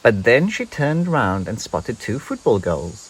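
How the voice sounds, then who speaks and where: Very animated, unhurried children's storybook narrator. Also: medium pitch, husky, poor audio. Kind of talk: expressive children's read-aloud.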